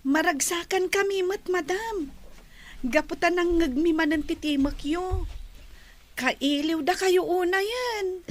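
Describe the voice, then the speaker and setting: A woman's voice: very high voice, slow. A woman playing a polite, sensitive young female student in a radio drama. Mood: warm, tender. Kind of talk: Ilocano radio drama acting.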